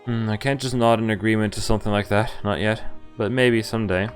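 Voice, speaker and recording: A man's voice: fairly low pitch, fairly even intonation, fairly quick. A man on a live game stream, reading visual novel text aloud and reacting casually in an understated way. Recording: game music playing behind. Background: video game music.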